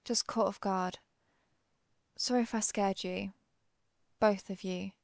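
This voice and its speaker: A woman playing an earnest, conflicted vampire hunter who is herself a vampire, speaking formally with hesitant pauses in a dramatic audio roleplay. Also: mid-range voice, fairly even intonation, vocal fry, no room sound. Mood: shaken, apologetic. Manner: formal, old-fashioned wording, hesitant pauses. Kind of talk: dramatic audio roleplay, one-sided dialogue.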